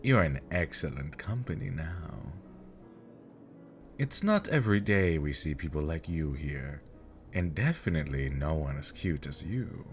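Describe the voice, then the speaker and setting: A man's voice: extremely low pitch, expressive, measured pace, faint music behind, heavily acted. A man playing a smug, teasing elder demon nobleman with mocking politeness and flirtatious charm, in an ASMR fantasy roleplay. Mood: flirtatious, taunting.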